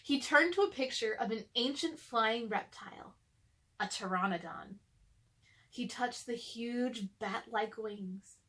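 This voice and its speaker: A woman narrates a storybook for children. Her voice sits a little high and swings widely in pitch. She takes her time with each phrase. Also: lively intonation, slow, pushed and bright. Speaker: children's read-aloud host.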